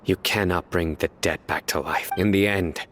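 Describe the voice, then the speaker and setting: An actor playing a stern, principled warrior and enforcer of justice in a video game, speaking firmly and solemnly. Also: male voice, sits low, fairly bright tone, a little hiss or hum. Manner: solemn, firm, terse, measured. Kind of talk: dramatic video game voice acting.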